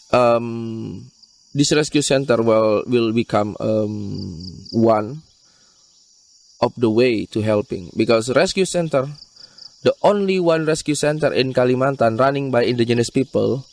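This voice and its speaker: A man with an Indonesian accent manages a wildlife rescue center and answers interview questions in halting English, pausing often with fillers. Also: mid-range voice, darkish tone. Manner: earnest, halting non-native English with frequent fillers. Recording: voice picked up from a distance.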